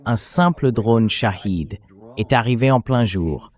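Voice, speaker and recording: A man's voice: lowish voice, animated, a little slow, darkish tone. A man hosting a political commentary show in a French translated voice-over, speaking critically with incredulous rhetorical turns.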